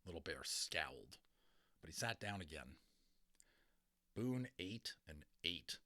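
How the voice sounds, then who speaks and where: A man reading a storybook aloud as a dramatic, expressive narrator. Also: sits low, slow. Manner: expressive, theatrical. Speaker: audiobook narrator.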